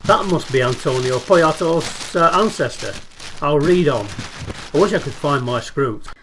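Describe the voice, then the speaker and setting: A man's voice: fairly high pitch, animated, measured pace, noisy background. A man playing a middle-aged small-town intellectual, muttering to himself in a comic radio play. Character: pondering small-town intellectual, aged forty-five, muttering over documents.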